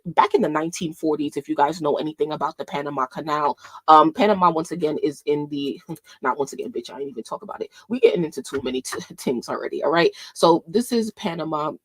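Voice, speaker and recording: A woman's voice: low-pitched, no room sound. A woman in her late twenties from New York, with a Caribbean lilt that sounds Jamaican, vlogging in a rambling casual chat that keeps interrupting itself. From New York, USA. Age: late 20s. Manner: rambling, self-interrupting, laughs often.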